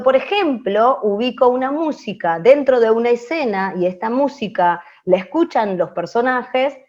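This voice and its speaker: An Argentine woman explaining in an open class, didactic, repeating phrases for emphasis. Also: a little high. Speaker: radio language teacher. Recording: dull lo-fi audio.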